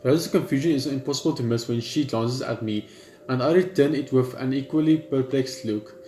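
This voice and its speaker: Echoey man reading game text haltingly. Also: medium pitch, mellow, a little hiss or hum. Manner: halting, repeats words while reading. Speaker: gaming video host. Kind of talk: reading game text aloud with casual asides. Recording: echoey room with faint game music. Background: game music.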